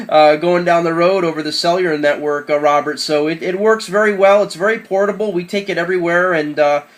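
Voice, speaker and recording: A man's voice: high voice, fairly quick. A man chatting casually and enthusiastically as an amateur radio operator during a radio contact.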